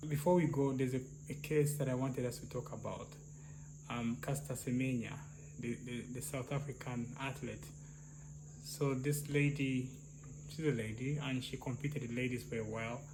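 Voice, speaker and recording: A man with a soft, slightly high voice, thinking aloud in casual discussion with many restarts and "yeah?" tags. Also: steady tone, normal speed. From Uganda. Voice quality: soft, somewhat high-pitched voice. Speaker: clinical psychologist, co-host. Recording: noisy, crickets and hum.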